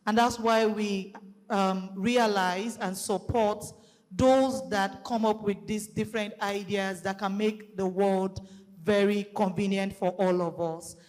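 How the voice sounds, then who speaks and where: Nigerian woman speaking loudly in a hall. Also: medium pitch, steady tone, slow pace. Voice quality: loud. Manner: explanatory, methodical, with light fillers. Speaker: conference speaker. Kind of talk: public presentation. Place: conference hall. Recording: long hall reverb.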